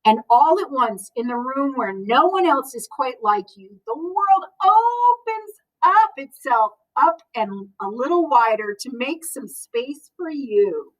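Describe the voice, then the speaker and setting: A woman with a high voice reads a picture book aloud in an expressive way. She speaks directly to children like a school librarian, adding short asides. The microphone sits some distance from her. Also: takes it slowly.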